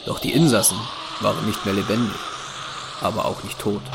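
A man's voice: lowish voice, steady tone, fairly quick, gravelly, heavy background noise. A man narrating an audio drama from a script as its storyteller. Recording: prominent music behind narration.

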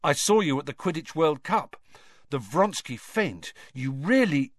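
Guy doing a quiet young athlete, formal, kinda low. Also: lively intonation, unhurried, no echo, clean studio sound. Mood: reserved.

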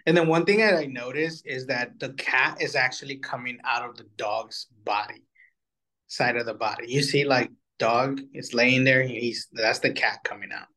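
A man's voice: medium pitch, moderate pace, sharp tone. A man co-hosting a podcast, giving his reactions in loose, casual conversation. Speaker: podcast co-host.

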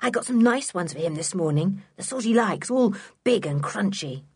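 A woman's voice: mid-range voice, lively intonation, moderate pace, a little mellow, husky, no echo, studio quality. A woman voicing a fiery, alert young girl with an urgent, decisive manner in a children's storybook. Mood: determined. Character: fiery, alert girl protecting her dog.

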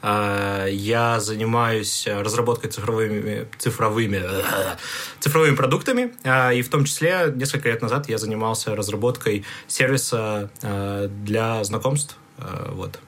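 A man in his late twenties from Kyrgyzstan, speaking casually and haltingly as a podcast guest. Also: fairly low pitch, fairly bright tone. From Bishkek, Kyrgyzstan. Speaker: podcast guest, digital product developer. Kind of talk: casual conversation.

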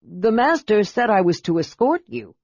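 A man's voice: sing-song, moderate pace, vocal fry, a little mellow, breathy edge, heavily acted. A man voicing a timid, dutiful, flustered male servant in an audiobook, nervous and deferential. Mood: anxious. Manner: nervous, deferential, high-voiced. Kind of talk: audiobook character voice. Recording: roomy echo, slightly dull sound.

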